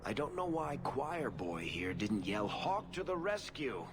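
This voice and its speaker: A man's voice: mid-range voice, big pitch swings, unhurried, background noise. A man playing a cocky, teasing adventurer in a fantasy video game, with a mocking manner.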